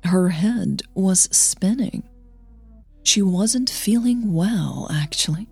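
A woman's voice: medium pitch, animated, slow, gravelly, husky, faint music behind. A woman reading scripted narration for an audio fiction story.

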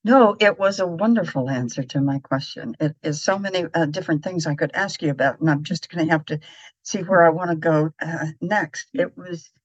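A woman's voice: sits low, a little fast, husky, dry recording. A woman hosting an interview, asking questions hesitantly while searching for her words. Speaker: podcast host. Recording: dry, dull lo-fi sound.

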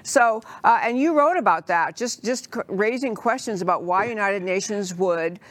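A woman's voice: mid-range voice, animated, normal speed, a bit bright, dry recording. A woman with a mid-range voice hosts a talk show. She talks in a chatty, enthusiastic way, full of fillers, as she introduces a guest and puts questions to him.

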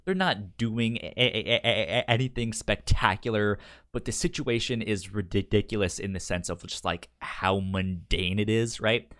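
A man co-hosting a podcast in casual, unscripted chat, with a stutter that repeats first syllables and a halting, explanatory way of talking. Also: fairly low pitch, fairly bright tone. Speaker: podcast co-host.

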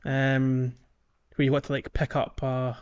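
A man's voice: mid-range voice, completely flat delivery, a little slow, a little mellow, clean tone, muffled recording. A man co-hosting a casual podcast, explaining a video game in a hesitant, piece-by-piece way. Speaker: podcast co-host. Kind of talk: casual game walkthrough chat. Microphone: podcast voice recording.

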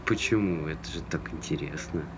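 A talkative young guy at a bus stop with a low, creaky voice. He speaks in casual, hesitant, touchy everyday dialogue. Loud traffic and street ambience run behind him. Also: brisk. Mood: curious. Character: chatty young man at a bus stop, easily offended. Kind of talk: naturalistic stage dialogue. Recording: noisy street ambience with passing vehicles.

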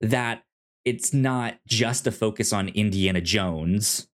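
A man co-hosting a podcast in casual film discussion, speaking haltingly as he thinks aloud. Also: fairly low pitch. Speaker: podcast co-host.

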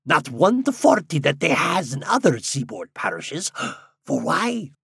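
Man putting on an excitable, high-pitched old seaside rustic. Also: vocal fry, slightly rough, no echo, clean studio sound, exaggerated voice. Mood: excited insistence. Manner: archaic rustic speech, drawn-out exclamations.

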